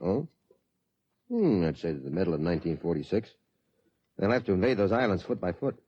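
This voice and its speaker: A genial middle-aged dad in an old radio play. His voice is fairly low and husky, swinging animatedly in pitch. He talks in a hearty, indulgent, fatherly way. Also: very animated, brisk, dark tone, lo-fi sound, full character voice. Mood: musing. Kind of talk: 1950s radio drama acting.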